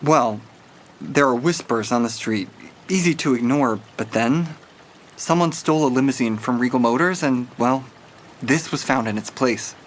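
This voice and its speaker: Brief: young male rookie cop acted by a man, timid, stammering, mid-pitched, ambient noise around him. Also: moderate pace, lightly acted. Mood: hesitant. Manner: hesitant, stammering, apologetic.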